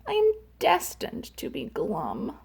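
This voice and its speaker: A woman's voice: medium pitch, huge pitch swings, slow pace, gravelly, darkish tone, slightly rough, exaggerated voice. A woman voicing a glum male fish with a pouting face, in a sing-song rhyming delivery. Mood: gloomy.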